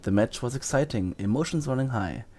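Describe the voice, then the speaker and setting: An actor in an audio drama playing a gentle, weary wizard teacher who explains things in a grave, measured, reassuring way. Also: male voice, medium pitch, animated.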